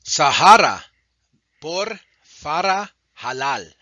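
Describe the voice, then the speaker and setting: The voice comes from a man announcing awards, reading each title slowly and deliberately in a bright, clear tone. His recording is dull and lo-fi, with a dry, close feel and no room around it. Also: fairly high pitch, very animated, no echo. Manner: slow, measured announcing.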